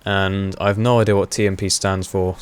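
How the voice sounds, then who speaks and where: A young man with a fairly low voice talks over his gameplay in a loose, rambling way. He pauses on fillers and laughs often, and his voice is wearing hoarse. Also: little pitch movement. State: voice going hoarse. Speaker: gaming commentator. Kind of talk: casual gameplay commentary.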